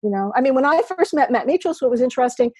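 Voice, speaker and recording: A talkative woman rambles loosely, her fairly high voice mellow and soft-edged. She laughs easily as she talks. The sound is dry and close over a computer mic. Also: lively intonation, very fast, slightly rough, dry recording. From New York. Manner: chatty, rambling, laughs often. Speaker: podcast guest, poker writer. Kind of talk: casual remote interview chat.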